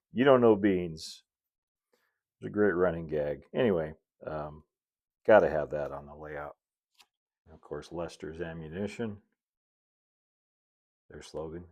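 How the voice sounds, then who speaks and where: Very deep-voiced, easygoing middle-aged man. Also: dark tone. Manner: easygoing, folksy, chatty asides. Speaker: model railroad builder and video host. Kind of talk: casual hobby tutorial narration.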